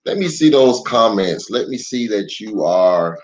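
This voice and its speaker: A man's voice: on the low side, lively intonation, unhurried. An American man, a pastor, chatting casually and welcomingly to families as he hosts a children's story time livestream. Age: adult parent of young children. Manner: welcoming, addresses viewers directly. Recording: dull lo-fi livestream audio with music.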